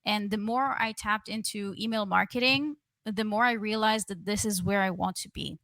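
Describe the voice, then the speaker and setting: Guest voice: young woman from Israel, talkative and candid, mid-range pitch, dry close recording. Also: steady tone, moderate pace, a bit bright, dry recording. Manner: chatty, candid, many fillers. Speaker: guest, email strategist. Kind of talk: conversational interview.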